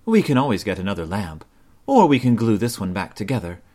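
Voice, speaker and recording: A man voicing a caring, wise mother bear in a storybook with a soft motherly lilt. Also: fairly low pitch, sing-song, a little fast. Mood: gentle.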